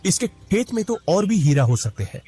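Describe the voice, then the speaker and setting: A man's voice: high voice, very animated, moderate pace, mellow, slight background noise. A man voicing a poor, anxious village farmer. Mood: scheming. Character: poor greedy young farmer Ramu, pleading.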